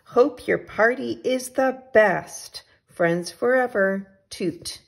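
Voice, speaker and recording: A woman reading as an adventurous, affectionate male storybook traveler, with exclamatory phrasing and drawn-out sign-offs. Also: fairly high pitch, vocal fry, heavily acted. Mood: eager, affectionate. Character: adventurous, affectionate storybook traveler writing postcards to his friend.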